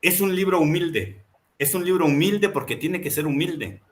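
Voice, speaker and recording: A man's voice: a little high, moderate pace. A man presenting a book in a reflective, impassioned talk, building his points through rhetorical repetition. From Mexico.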